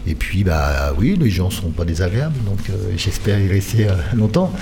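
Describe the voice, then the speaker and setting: A middle-aged man gives conversational interview answers. His voice is low and very mellow, and he often hesitates as he hunts for the next word. A little noise sits behind him. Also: animated, moderate pace. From Paris region, France. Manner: hesitant, searching for words. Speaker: handball coach being interviewed. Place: radio studio.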